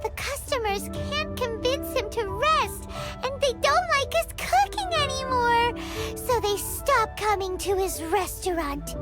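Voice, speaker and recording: A woman voicing a tiny, excitable fairy companion in a game, talking in an animated, exclamatory way. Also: measured pace, hardly any pauses. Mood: storytelling. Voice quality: squeaky, bubbly, refers to herself by name. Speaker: game character. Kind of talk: game cutscene voice acting. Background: game score music.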